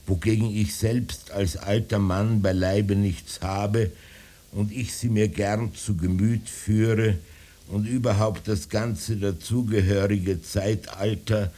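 Slow, low-voiced old man musing. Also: fairly even intonation, mellow. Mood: musing, detached. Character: rambling old man spinning absurd apocalyptic visions. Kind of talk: literary radio-play monologue.

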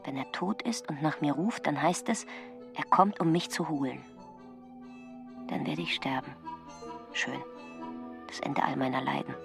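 Eine Schauspielerin spielt im Hörspiel eine sehnsüchtige, gequälte Frau, die sich an Hoffnung klammert, in vertraulichem, teils geflüstertem inneren Monolog. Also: female voice, low-pitched, a little slow, vocal fry, pauses often, over music. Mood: wistful, resolute. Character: yearning, anguished woman clinging to hope. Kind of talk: radio drama acting, inner monologue.

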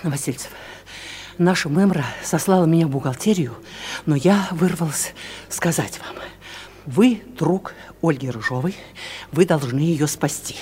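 A woman's voice: low-pitched, a little slow, darkish tone, slight background noise. Gossipy office busybody woman. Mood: confiding, urgent. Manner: chatty, indiscreet, insistent. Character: busybody gossiping female office committee activist. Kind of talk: Soviet radio comedy acting.

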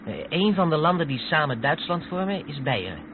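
A man's voice: on the high side, big pitch swings, fairly quick. An actor playing a curious, rather naive co-driver who questions his companion in a radio drama. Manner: inquisitive. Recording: tinny narrow-band radio recording with vehicle noise.

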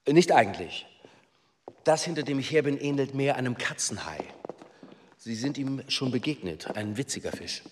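An actor playing a shrewd, deferential senior police commissioner in a radio drama, measured, formal and dryly witty. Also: male voice, medium pitch, lively intonation, slight background noise, close to an everyday voice. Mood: dry, sly.

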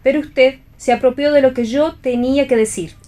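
A woman's voice: sits high, fairly even intonation, moderate pace, warm tone, lightly acted. A woman acting a proud, possessive rival back from death in a play reading for radio, assertive and declamatory. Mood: proud, impassioned.

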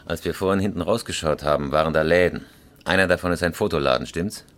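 A man's voice: low-pitched, fast, fairly bright tone. An actor playing a cool, commanding career criminal in a radio crime drama, terse and matter-of-fact as he gives orders. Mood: cool, calculating.

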